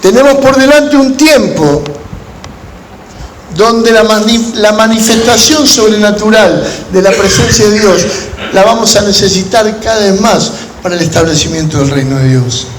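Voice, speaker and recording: A man with an Argentine Spanish accent preaching as a pastor, telling stories in measured, deliberate phrases with pauses. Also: high voice. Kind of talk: sermon to a gathering of pastors, with storytelling. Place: large room at a church conference. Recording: echoey hall with background noise.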